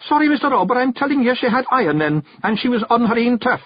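A man's voice: very high-pitched, very animated, fast talker, breathy edge, no echo, lo-fi sound, voice pushed up, full character voice. A man voicing a young, nervous male pictsie seer with a Scottish accent, earnest and anxious. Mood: pleading.

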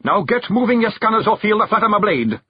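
A man voicing a fierce, bossy little male fairy clan chief with a gruff, high Scottish brogue. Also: lively intonation, moderate pace, no room sound, dull audio. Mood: commanding.

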